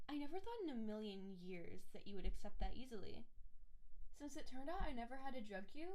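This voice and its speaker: A doting yandere wife, a snow spirit acted by a woman, speaks in a fairly high feminine voice. She addresses her beloved directly in an intimate roleplay style, sweet and gushing, with a clingy, obsessive devotion. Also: darkish tone, natural voice, low-quality recording. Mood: fond, unsettling.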